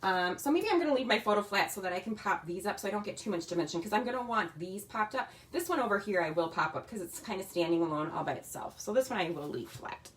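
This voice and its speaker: A woman with a slightly raspy voice, chatting casually as she demonstrates a scrapbooking kit in her home craft room. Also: medium pitch, expressive, quick pace, hardly any pauses. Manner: chatty, talks while working with her hands.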